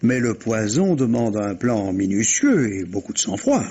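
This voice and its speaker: An actor playing a courteous, probing police inspector in a vintage radio mystery, with polite, hesitant, insinuating questions. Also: male voice, lowish voice, sing-song, measured pace, mellow, slightly rough, dull audio, exaggerated voice. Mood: measured, probing.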